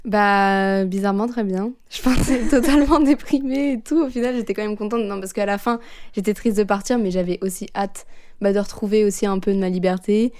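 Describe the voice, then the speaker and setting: A woman's voice: mid-range voice, fairly quick, a little mellow. A young woman from France, a podcast guest, chatting casually and animatedly about coming home from abroad. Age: young adult. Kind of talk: casual chat.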